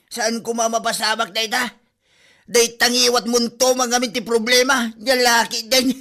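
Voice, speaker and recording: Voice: medium pitch, flat delivery, normal speed, bright, forceful tone. A relative lecturing the mother-in-law and blaming her for the trouble in an amateur comedy audio drama. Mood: scolding.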